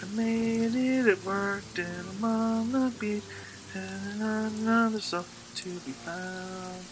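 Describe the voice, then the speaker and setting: A man's voice: high voice, steady tone, takes it slowly, background noise. An actor playing a desperate, frightened man in hiding from monsters, urgent and faltering, in a horror audio drama. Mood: breathless relief.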